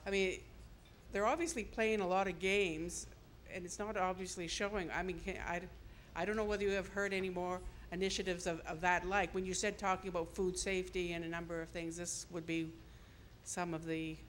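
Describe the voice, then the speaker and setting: A woman's voice: on the low side, steady tone, brisk, a little hiss or hum. A woman delegate asking a question from the floor at a convention, hesitant and rambling, her sentences trailing off. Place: convention hall.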